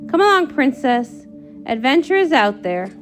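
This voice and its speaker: A woman's voice: sits high, sing-song, moderate pace. A woman voicing an elderly, scholarly male wizard for a comic read-along, muttering in old-fashioned turns of phrase over music. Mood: dismissive, coaxing. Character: elderly male wizard, scholarly, eager, absent-minded, stubborn. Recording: music under the voice.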